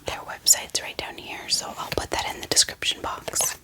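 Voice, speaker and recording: A woman speaking in soft, gentle ASMR style, showing items and softly repeating words. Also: normal speed. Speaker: ASMR book haul host. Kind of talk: soft-spoken whispered ASMR show-and-tell.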